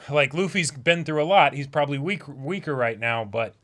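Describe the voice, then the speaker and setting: A man's voice: on the high side, moderate pace, fairly bright tone. A man chatting casually and commenting as co-host of a dramatic manga reading.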